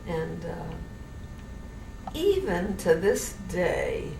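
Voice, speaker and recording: An elderly woman from New Orleans with a general American accent, slowly reminiscing in an interview with many restarts. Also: on the low side, big pitch swings, creaky. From New Orleans, USA. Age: older. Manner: slow, meandering, with many restarts. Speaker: interviewee, great-grandmother. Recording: noisy, roomy home recording from a distance.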